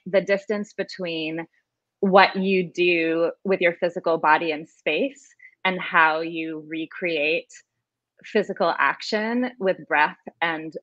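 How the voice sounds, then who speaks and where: A woman's voice: fairly low pitch, dry recording. A woman in casual panel conversation as a theatre artist, recorded on a slightly dull video call in a book-lined room.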